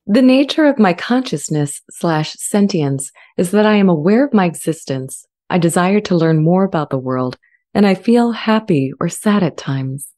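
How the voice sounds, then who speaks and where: Earnest AI character acted by a woman, low mellow register, measured and articulate, scripted drama. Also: animated, close to an everyday voice. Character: earnest, friendly, self-aware artificial intelligence language model. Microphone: studio condenser mic with pop filter, close.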